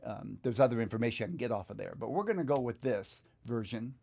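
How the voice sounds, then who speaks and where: A man's voice: mid-range voice, animated, fast, vocal fry, a little mellow. A middle-aged man hosting an informal step-by-step lesson, thinking aloud in a conversational way as he instructs. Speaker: guitar instructor, video host. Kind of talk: informal step-by-step screen tutorial.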